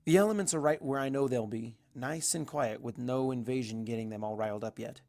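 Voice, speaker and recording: An amateur reader narrates a fantasy story in the first person. The voice sits at a middling pitch, in a plain audiobook style. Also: a little fast, dark tone. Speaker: amateur fan fiction narrator.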